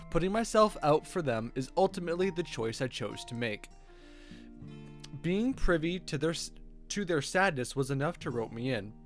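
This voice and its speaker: A man reading visual novel text aloud as a streamer, dropping in wry, joking, sweary casual asides. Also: a little high. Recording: background music under voice. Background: music with guitar.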